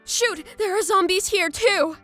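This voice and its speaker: A woman voicing an eager, hot-blooded young female office worker in an animated manga story, expressive and easily exasperated. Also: very high voice, unhurried, no room sound, soft background music, exaggerated voice. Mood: panicked. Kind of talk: animated manga voice acting and narration.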